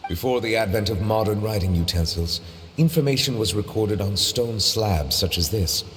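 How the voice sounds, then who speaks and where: An actor playing a dignified, reserved chief judge in a video game, speaking in a measured, precise, formal manner. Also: male voice, on the low side, a bit bright, in a large hall, slight background noise, lightly acted. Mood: earnest, courteous.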